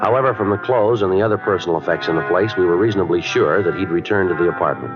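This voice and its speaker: A man acting a stoic, terse police detective in an old-time radio drama, matter-of-fact and deadpan. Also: deep voice, fast talker, close to an everyday voice. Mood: flat narration. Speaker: lead actor and narrator of a detective series. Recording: dull vintage radio broadcast with music.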